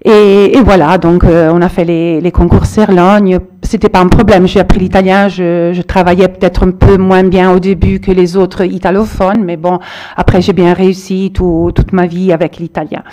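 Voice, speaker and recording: A middle-aged woman from the Aosta Valley, a primary school teacher, giving a reflective, halting testimony to a panel. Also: lowish voice, level tone, dark tone. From Aosta Valley, Italy. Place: public hall with audience.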